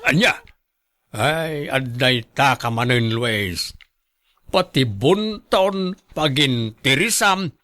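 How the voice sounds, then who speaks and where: Guy acting old dad in a radio drama, stern and tired, telling his son off, sharp sounding. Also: medium pitch, lively intonation, slow pace. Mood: stern warning. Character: stern, weary old father scolding his idle son.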